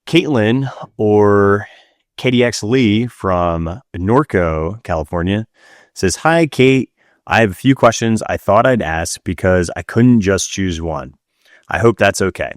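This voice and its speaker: A man's voice: fairly low pitch, animated, slow pace, no room sound, studio quality. A man co-hosting a casual talk show, chatting through listener questions in conversation. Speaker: podcast co-host.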